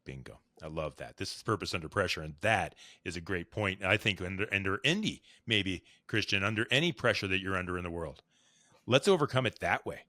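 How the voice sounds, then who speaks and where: Deep-voiced enthusiastic podcast host. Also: male voice, lively intonation, a little fast, pushed and bright, dry recording, studio quality. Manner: enthusiastic, effusive praise, frequent restarts. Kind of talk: podcast interview hosting.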